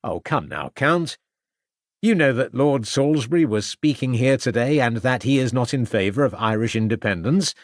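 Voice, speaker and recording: A man's voice: medium pitch, animated, moderate pace, dry recording, studio quality, natural voice. A man voicing a stern, persistent police interrogator who fires off accusing questions. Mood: interrogating. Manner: hectoring questions. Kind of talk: audiobook character voice.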